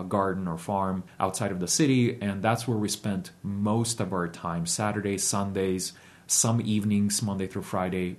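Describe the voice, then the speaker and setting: Low-voiced man reflecting in an interview. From Ukraine. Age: 30s. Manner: reflective, steady, with frequent ums and uhs. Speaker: guest. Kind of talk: interview storytelling.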